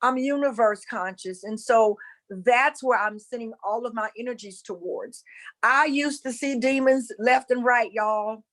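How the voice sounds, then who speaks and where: A woman's voice: a little high, a little slow, fairly bright tone. A woman from North Carolina with a Southern accent, teaching about spirituality casually and earnestly, repeating phrases for emphasis. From North Carolina, USA.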